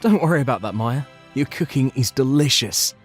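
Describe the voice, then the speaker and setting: A man's voice: medium pitch, animated, fairly quick, lightly acted. A man playing a kind, devoted young husband in scripted manga dub dialogue. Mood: warm, reassuring. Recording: background music under the voice.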